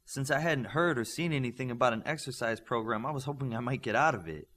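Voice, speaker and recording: A man's voice: medium pitch, animated, fast, a bit bright. Man voicing a fussy, clever teenage superhero girl. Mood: self-assured, fussy.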